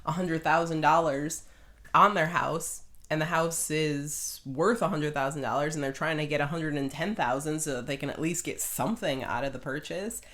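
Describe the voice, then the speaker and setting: A woman's voice: deep voice, moderate pace, fairly bright tone. A woman in her thirties co-hosting a casual podcast with a skeptical, matter-of-fact manner. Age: 30s. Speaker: podcast co-host. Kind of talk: casual two-person podcast chat.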